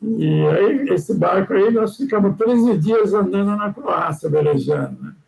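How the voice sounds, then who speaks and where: Gravelly high-voiced older man rambling. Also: very animated, unhurried, a little mellow, poor audio. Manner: rambling, frequent "né?" tags and restarts. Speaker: guest sailor. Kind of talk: casual storytelling chat.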